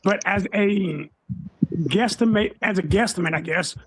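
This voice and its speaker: A man on a discussion panel, asking probing questions in a slow, deliberate way. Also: high voice, very noisy.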